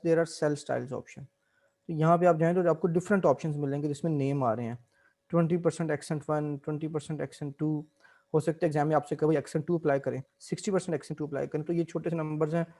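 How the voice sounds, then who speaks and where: A man, explaining spreadsheet tasks step by step as an instructor, mixing in English terms. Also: fairly high pitch. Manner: mixes English terms into explanations, steady teaching pace. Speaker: Excel instructor. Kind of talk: screen-recorded software tutorial.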